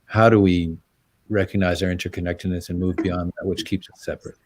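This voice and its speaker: A man's voice: low voice, little pitch movement, a little mellow, no echo. A man hosting a live online conversation, thanking his guests gratefully and often restarting his sentences over a video call. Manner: halting, grateful, repeats words while starting sentences. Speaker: event host.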